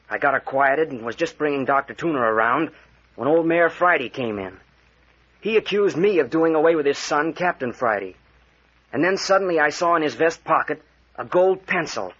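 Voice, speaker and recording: A man's voice: on the high side, fairly bright tone, husky. An actor playing an earnest, hot-headed young American man, urgent and forthright, in an old radio drama. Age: young adult. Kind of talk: 1940s American radio acting. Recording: muffled vintage radio recording.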